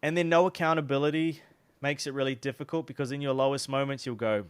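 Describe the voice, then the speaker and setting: Man, New Zealand accent, young adult, casual vlog advice, smooth clear voice. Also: mid-range voice, fairly even intonation, quick pace, no room sound. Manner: chatty, loose, lots of fillers and restarts. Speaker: online business coach.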